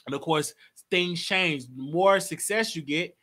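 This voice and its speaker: A man's voice: fairly high pitch, unhurried, sharp tone. A man in his late twenties hosting a live call-in show, chatting casually and laughing often. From Texas. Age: 20s. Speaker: host.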